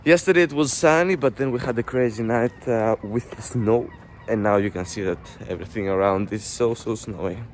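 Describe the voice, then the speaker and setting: A man's voice: lowish voice, normal speed, few pauses, a little mellow, slight background noise. A Greek man in his twenties with a Greek accent, chatting casually in a vlog while walking along a snowy street. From Greece. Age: 20s. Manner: chatty, rambling with fillers. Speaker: vlogger.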